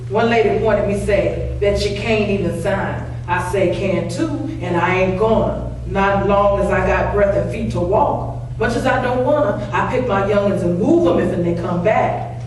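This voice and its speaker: A woman's voice: mid-range voice, moderate pace, a little mellow, lightly acted. A woman playing a poor, proud, protective country mother with a Southern US accent and blunt folksy phrasing, in a staged play reading. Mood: defiant. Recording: distant mic, very echoey room, faint noise.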